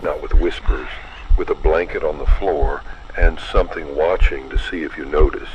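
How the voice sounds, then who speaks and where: A man reading a scripted horror narration in an ominous, deliberate manner, addressing the listener directly. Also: rumbling low, highs cut off, noisy background.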